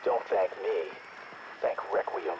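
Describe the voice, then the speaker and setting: An actor playing a smug, self-serving outpost scientist in a video game, theatrical and exasperated, heard through a radio filter. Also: male voice, sits low, takes it slowly. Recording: muffled noisy radio transmission.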